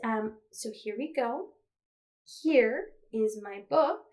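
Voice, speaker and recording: A woman's voice: on the high side, animated, slow, darkish tone. A woman leading a toddler storytime as a children's librarian, speaking simply to small children and reading aloud with questions and playful touches. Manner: simple child-directed delivery with playful animal sounds.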